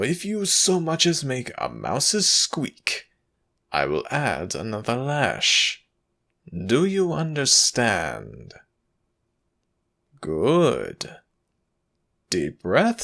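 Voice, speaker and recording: A man playing an ancient, protective god in an intimate ASMR audio roleplay, speaking in a halting, intense way with a gravelly, breathy voice. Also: medium pitch, a bit bright, heavily acted. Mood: menacing, commanding. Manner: halting, drawn-out, intense delivery.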